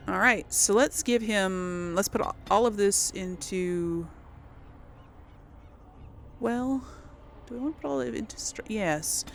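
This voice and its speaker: A woman's voice: medium pitch, unhurried, gravelly, pauses often. Female gameplay host, informal think-aloud commentary, mid-range voice, audible background noise. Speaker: gaming video host. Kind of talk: casual game commentary.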